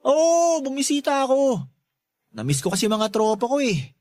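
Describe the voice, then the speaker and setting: A man's voice: very high-pitched, sing-song, moderate pace, darkish tone, dry recording, clean studio sound. A man playing a drunk, jobless young husband in a radio drama, rambling and pleading with slurred, self-pitying speech. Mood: wounded, pleading. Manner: rambling, repeating himself, pleading. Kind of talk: Tagalog radio drama acting.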